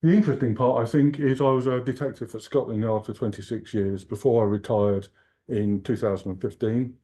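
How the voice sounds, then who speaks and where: A man's voice: medium pitch, fairly even intonation, brisk, mellow. An Englishman in his sixties giving a humorous, self-deprecating keynote talk at a conference. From England. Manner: joking, self-deprecating, chatty. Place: conference hall.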